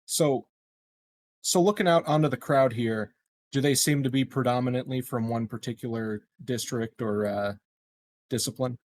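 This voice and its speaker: A man with a medium-pitched voice plays a tabletop roleplaying game. He talks casually and haltingly, questioning as he works through his ideas.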